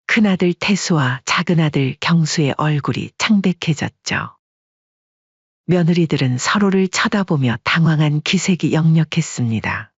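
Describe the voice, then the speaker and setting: Husky male audiobook narrator. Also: a little high, no echo. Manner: steady storytelling. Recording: dry, dull lo-fi recording.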